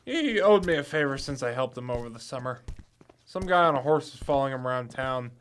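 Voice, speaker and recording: A man's voice: medium pitch, animated, brisk, fairly bright tone, smooth, close to an everyday voice. A man playing a cocky, dogged young amateur detective in an audio drama, self-assured and theatrical. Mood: confident.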